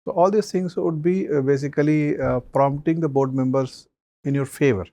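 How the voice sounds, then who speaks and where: A man, a mock interview panelist giving measured advice with many pauses and fillers. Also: mid-range voice, gravelly, dark tone, no echo.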